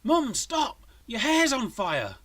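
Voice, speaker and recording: A man's voice: extremely high pitch, wildly swooping pitch, slow, a bit bright, voice pushed up, full character voice. A man voicing an earnest, inquisitive schoolboy inventor who reasons things out in a children's story. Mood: urgent.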